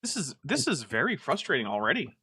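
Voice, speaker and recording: A man's voice: mid-range voice, big pitch swings, a little fast, bright, forceful tone, slightly rough, no echo, clean studio sound. A man hosting a live stream, reacting to a video in casual, exasperated commentary full of "like" fillers. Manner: chatty and exasperated, many "like" fillers.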